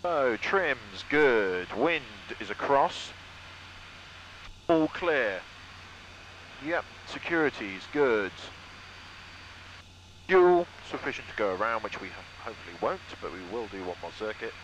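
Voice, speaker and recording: A man's voice: mid-range voice, animated, slow, long pauses, a bit bright, rough recording. A British man, a student pilot, narrating a vlog and commentating from the cockpit in an informal way. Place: small microlight aircraft cockpit. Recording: engine and cockpit noise.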